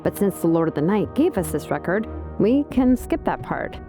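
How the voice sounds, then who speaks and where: An actress playing an earnest, dutiful craftswoman in a video game, sincere, thoughtful and unhurried. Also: fairly low pitch, big pitch swings, over music. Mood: pondering. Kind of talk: video game character acting.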